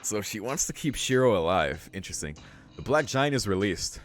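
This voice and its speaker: Lower-voiced man reading a game aloud. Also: sing-song, a little fast. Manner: casual, sweary asides. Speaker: let's play host. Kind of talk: reading a visual novel aloud with casual commentary. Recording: music behind the voice. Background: game music.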